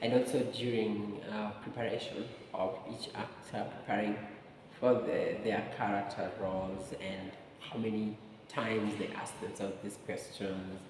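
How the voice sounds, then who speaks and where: A man's voice: on the low side, little pitch movement, measured pace, a little mellow. A man with a Kenyan accent giving a casual conversational review as co-host, hesitant and searching for words, often repeating phrases. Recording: echoey hall, distant mic, faint noise.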